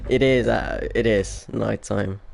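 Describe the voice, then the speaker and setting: Slow-talking young man, Polish-Finnish accent, gravelly low voice, tired and rambling; faint background hum. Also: fairly even intonation. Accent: Polish, mixed with Finnish. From Poland. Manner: rambling, laughs often, trails off quietly. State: tired from no sleep. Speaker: racing league commentator. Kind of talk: casual chat.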